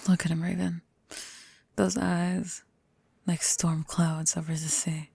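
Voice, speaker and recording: A woman acts out a gothic female pirate captain in a scripted ASMR roleplay. She is playful, possessive and casually ruthless, slipping between talk and whispers. Her voice sits low with plenty of fry, recorded very close and dry. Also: level tone, slow, dark tone, no echo, heavily acted. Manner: teasing, amused, casually ruthless, often breaking into whispers.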